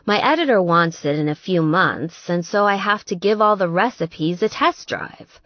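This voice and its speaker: A woman's voice: lowish voice, normal speed, dry recording, highs cut off, close to an everyday voice. Woman putting on a brash, slurring, tipsy best friend. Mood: eager. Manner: brash, emphatic, declarative. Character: tipsy, blunt, loyal female best friend. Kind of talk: audiobook character dialogue.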